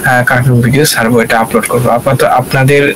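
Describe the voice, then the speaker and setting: A man giving an informal software tutorial, thinking aloud and often checking in with questions. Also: mid-range voice, racing. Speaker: tutorial instructor. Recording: clear steady background hiss.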